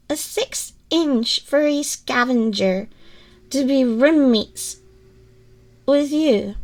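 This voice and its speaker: A tiny furry creature girl, acted by a woman for an ASMR roleplay, with a very high voice and a slow, halting delivery. She is timid and apologetic, stammering as she pleads her case directly to the listener. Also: full character voice. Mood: hesitant, touched.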